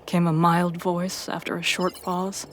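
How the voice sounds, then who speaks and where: A woman's voice: fairly low pitch, flat delivery, measured pace, background noise. Woman narrating dramatic fiction, faint background ambience. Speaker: narrator of a fan fiction audio story. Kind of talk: dramatic first-person scripted narration.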